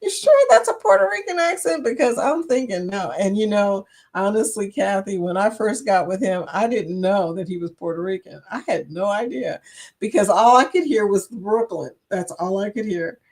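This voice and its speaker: A woman's voice: medium pitch, moderate pace. A middle-aged woman hosting a casual live chat, reading viewer comments aloud and laughing often. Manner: laughs often, chatty and animated, reads out comments. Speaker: livestream chat host.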